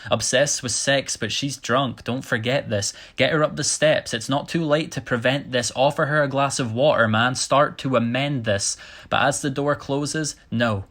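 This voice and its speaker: A man's voice: fairly low pitch, a bit bright. Young British male slam poet; rhymed, rhythmic, theatrical storytelling. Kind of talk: rhymed slam poetry performance.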